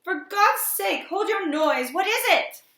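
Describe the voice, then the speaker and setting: A woman voicing a tense, exasperated man who tries to take charge in a storybook reading, sharp and impatient. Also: lowish voice, extremely slow, gravelly, voice pushed down, full character voice. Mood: savage, alarmed. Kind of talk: dramatic storybook character voice. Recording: roomy, echoing room sound.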